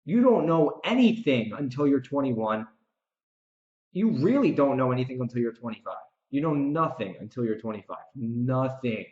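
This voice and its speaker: A man talks alone as a podcast host, working through his commentary with emphatic, repeated phrases. His voice sits at an ordinary male pitch. The recording is roomy and a little dull, with audible echo around his words. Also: big pitch swings, normal speed, a little mellow. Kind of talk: reflective solo recap commentary, part rant.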